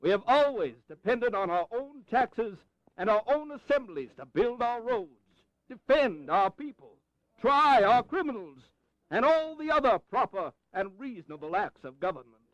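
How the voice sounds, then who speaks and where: A dry, slightly dull studio recording of a colonial statesman in an audio drama. He has a high voice and reasons firmly in measured, formal oratory. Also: sing-song, a bit bright, dry recording, exaggerated voice. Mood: reasoned, firm. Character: dryly reasoning colonial delegate from Virginia. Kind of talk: dramatized historical audio acting.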